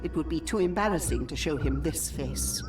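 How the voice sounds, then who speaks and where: A woman's voice: low-pitched, lively intonation. Wistful swamp witch healer for a game, old-timey formal talk, a bit far from mic, music behind. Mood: solemn, earnest. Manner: formal, old-fashioned, measured phrasing. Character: swamp witch healer, woman looking older than her years, wistful. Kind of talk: dramatic video game dialogue.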